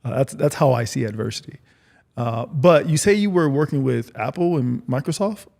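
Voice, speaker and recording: A man's voice: mid-range voice, lively intonation, normal speed, gravelly, a little mellow. A man hosting a podcast in a casual, conversational interview style. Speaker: podcast host.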